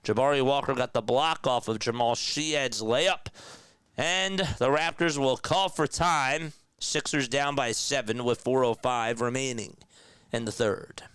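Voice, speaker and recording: Man, casual live basketball play-by-play, slightly raspy and nasal from a sore throat, smooth resonant tone, dry close mic. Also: mid-range voice, measured pace, a bit bright, no echo. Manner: conversational, reads and answers chat comments. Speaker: play-by-play commentator.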